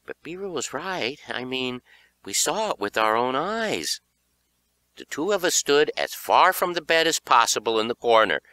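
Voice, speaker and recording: A man's voice: medium pitch, big pitch swings, moderate pace, pushed and bright, clear, resonant tone, dry recording. A man narrating children's horror fiction in a theatrical, suspenseful audiobook style.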